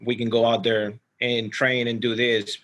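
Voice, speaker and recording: A man's voice: medium pitch, level tone, normal speed, fairly bright tone, rough recording. A man from Ecuador talks in a mid-range voice as a guest on a podcast. He is earnest and plainspoken, keeping a casual conversational style. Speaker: podcast guest, security professional. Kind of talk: casual interview chat.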